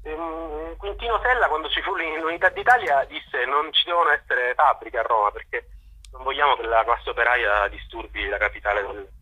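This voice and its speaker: A man speaking as a journalist guest, analysing in a halting way, searching for words and restarting phrases, over a phone call to a radio show. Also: medium pitch, pushed and bright, breathy edge. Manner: halting, searching for words with repeated false starts. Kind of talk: spoken political and historical analysis in an interview.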